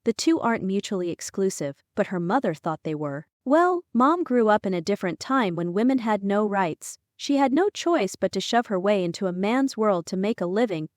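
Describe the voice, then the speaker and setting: A woman narrating a fantasy audiobook in a scripted, expressive storytelling style. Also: mid-range voice, moderate pace, hardly any pauses, dry recording, clean studio sound.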